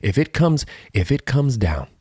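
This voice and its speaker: A man's voice: mid-range voice, very animated, a little slow, mellow, slightly rough, no room sound, clean studio sound. A man co-hosting a podcast in casual comedic banter, with a teasing, mock-dramatic way of talking. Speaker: podcast co-host.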